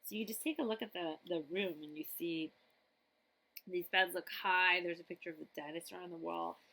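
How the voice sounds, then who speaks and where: A woman's voice: on the low side, low-quality recording. A woman librarian reading a picture book aloud to children expressively, with chatty asides and questions to her listeners. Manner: animated, chatty, pausing to ask listeners questions.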